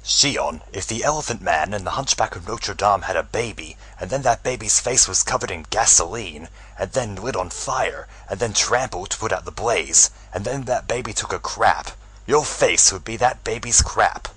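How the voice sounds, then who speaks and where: A man's voice: lowish voice, lively intonation, moderate pace, fairly bright tone, breathy edge, low-quality recording. A man voicing a snarky teenage boy who delivers mocking, sarcastic jabs.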